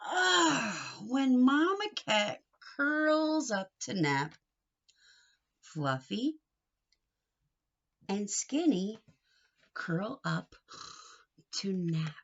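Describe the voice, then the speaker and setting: Expressive, high-voiced woman reading to children. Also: extremely slow, pauses often, muffled recording. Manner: expressive, sing-song, coaxing with questions to children. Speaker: read-aloud teacher for young children. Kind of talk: interactive picture-book read-aloud for young children.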